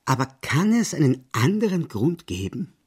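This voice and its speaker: A man's voice: a little high, very animated, moderate pace, slightly rough. A male actor playing an aloof, guarded senior Austrian diplomat in a German literary radio drama, speaking formally and probingly.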